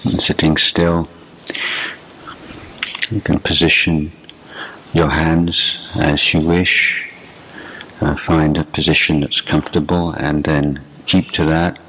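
Very deep, slow-speaking male meditation teacher. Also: level tone, fairly bright tone, breathy edge, low-quality recording. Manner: measured, deliberate, with long pauses between short phrases. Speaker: meditation teacher, Buddhist monk. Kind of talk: guided meditation instruction, unscripted dharma talk.

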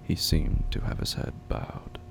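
A man narrating a scripted horror story in short, measured phrases with hushed suspense. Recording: noisy track with low rumble.

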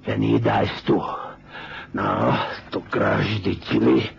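An actor playing a sadistic, smug criminal in a radio drama, with a deliberate, mocking delivery. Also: male voice, on the low side, steady tone, gravelly, husky, lo-fi sound, exaggerated voice. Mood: gloating menace. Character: menacing, sadistic Mexican male criminal, smugly cruel. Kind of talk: 1970s radio drama acting. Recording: noisy, band-limited old radio recording.

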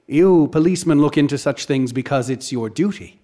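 An actor playing an eager author with a courteous, theatrical manner who relishes ghastly mysteries. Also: male voice, on the high side, fairly quick, warm tone, lightly acted. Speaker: narrator and lead character. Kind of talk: radio drama acting.

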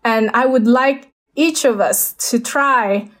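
A woman's voice: a little high, takes it slowly, fairly bright tone. A woman with an Indonesian accent, a guest speaking over a video call, talking hesitantly with many fillers and restarts. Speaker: guest, space architect.

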